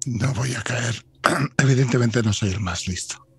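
A man player describing his character's actions in a tabletop game, quiet and deliberate. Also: mid-range voice, breathy edge. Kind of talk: in-character roleplay.

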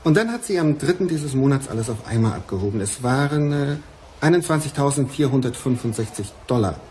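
Male bank official, played by an actor, radio crime play: courteous, exact, lively intonation, faint background noise. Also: mid-range voice, a little fast, natural voice. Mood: precise, courteous.

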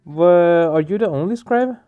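A man's voice: high-pitched, animated, measured pace, clean tone, narrow, tinny audio. A man giving casual let's play commentary, thinking aloud in a hesitant way. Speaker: let's player. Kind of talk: casual game playthrough commentary. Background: soft game music.

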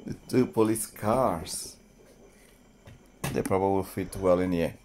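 Slow-talking man showing toy trains. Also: low-pitched, a little mellow, slight background noise. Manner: slow, unhurried, talking to children viewers. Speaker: toy train channel host. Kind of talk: casual toy showcase narration. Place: indoor playroom with shelves and toy train table.

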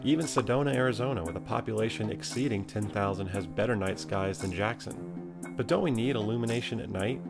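Low creaky American man narrating a documentary. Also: fairly quick. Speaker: narrator and nature photographer. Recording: music behind. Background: music.

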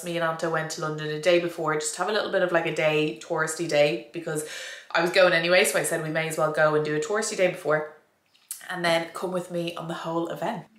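A woman's voice: deep voice, a bit bright, roomy sound. A young woman vlogger and fitness coach chatting to camera, nasal and bunged up with a cold, repeating words for emphasis. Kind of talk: casual vlog chat to camera. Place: small indoor room with a door behind.

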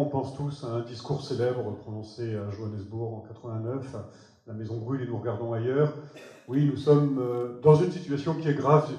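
French guy doing a keynote in a big theater, warm mellow voice, emphatic, echoey hall. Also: mid-range voice. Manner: earnest, emphatic, moving around the stage and gesturing. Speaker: keynote speaker. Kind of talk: conference keynote talk. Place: large theater stage. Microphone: headset mic. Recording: roomy hall sound.

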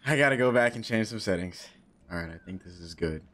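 A man's voice: lowish voice, expressive, brisk, a bit bright. A young man in his twenties streaming a horror game on a headset mic, joking nervously and talking to himself. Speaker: gaming streamer. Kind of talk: casual horror game commentary.

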